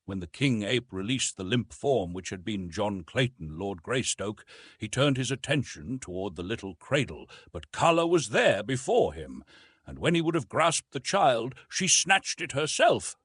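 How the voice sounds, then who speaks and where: A man narrating a classic adventure story as an audiobook, in a measured, dramatic storytelling manner. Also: mid-range voice, very animated, a bit bright, breathy edge, dry recording, studio quality.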